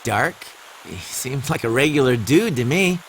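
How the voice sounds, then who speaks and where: A man voicing a brash, moody high school boy in an anime game, talking in casual, expressive slang. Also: unhurried, a little hiss or hum, close to an everyday voice. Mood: dismissive.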